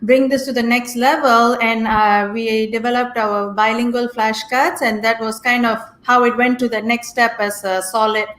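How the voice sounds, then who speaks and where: A woman from Singapore, a guest entrepreneur, answering questions in a friendly, earnest, measured way. Her voice is fairly high, and it rings in a roomy, echoey space over the call. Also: fairly even intonation, fairly bright tone, a little hiss or hum. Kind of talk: casual interview.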